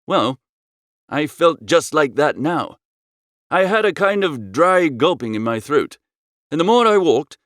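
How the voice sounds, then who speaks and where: A man narrating a comic first-person literary story as an audiobook, in a droll, expressive storytelling manner. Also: fairly high pitch, no room sound, studio quality.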